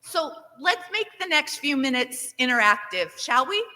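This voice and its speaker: A woman director giving lively welcome remarks to a conference audience, asking for shows of hands and cheering the answers. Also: high voice, moderate pace. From Nevada. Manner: upbeat, engages the crowd with show-of-hands questions. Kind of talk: interactive opening speech to a conference audience. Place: large conference hall. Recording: reverberant hall.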